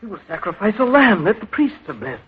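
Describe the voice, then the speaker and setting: An actor playing an earnest, credulous townsman in awe of gods, in an animated, declamatory old radio drama style. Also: sits high, fairly quick. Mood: eager. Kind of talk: 1940s radio acting. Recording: muffled old radio, music behind.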